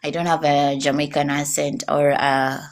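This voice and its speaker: Kenyan female guest, young, slightly low-pitched, earnest and repetitive. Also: flat delivery, moderate pace. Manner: earnest advice-giving, repeats key points. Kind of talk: interview testimonial.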